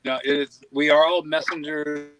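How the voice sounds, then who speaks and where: A man joining a live conversation by video call from a boat, speaking thoughtfully with long pauses between words. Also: fairly high pitch, little pitch movement, fairly bright tone, clear, resonant tone, low-quality recording. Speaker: guest scientist.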